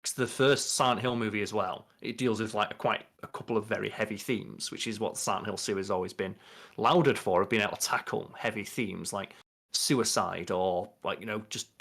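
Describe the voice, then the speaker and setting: A man's voice: lowish voice, a little fast, gravelly, a bit bright. A young man, a podcast co-host, chatting casually and explaining in a rambling way from a bedroom on a video call. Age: young adult. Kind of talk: casual movie chat.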